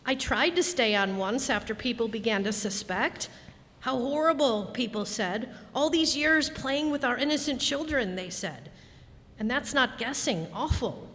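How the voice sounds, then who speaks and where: Woman acting polite young boy, wistful, earnest, high voice, radio drama, echoey hall. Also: expressive, a little slow, fairly bright tone. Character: wistful, innocent-seeming boy, polite, old beyond his years. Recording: echoey hall, long reverb.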